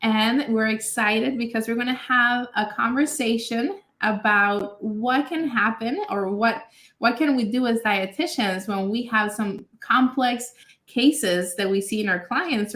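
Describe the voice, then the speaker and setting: A woman from Honduras hosting a live webinar, speaking in a friendly, slightly halting way. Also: a little high, a bit bright, roomy sound. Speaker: host.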